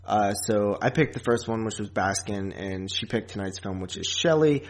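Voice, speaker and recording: A man hosting a podcast in a casual, rambling, halting chat style with frequent asides. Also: lowish voice, lively intonation. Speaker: podcast host.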